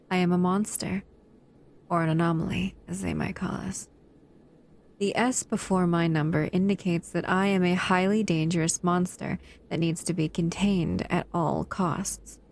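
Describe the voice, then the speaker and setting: A menacing humanoid monster, voiced by a woman, bored and predatory. She speaks slowly and condescendingly, with a gravelly, rough edge in a lowish register, as in an audio roleplay. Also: darkish tone. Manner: slow, teasing and condescending.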